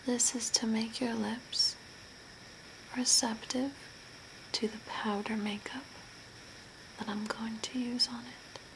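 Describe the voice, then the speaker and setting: Girl doing a creepy calm zombie makeup lady, soft breathy asmr whisper, really slow, noisy home recording. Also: a little high. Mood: calm, eerie. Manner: slow, deliberate, gently instructing. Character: eerie zombie makeup artist woman, calm and attentive. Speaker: ASMR host. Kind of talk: whispered ASMR role play. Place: small room at home by a window. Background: clear background noise.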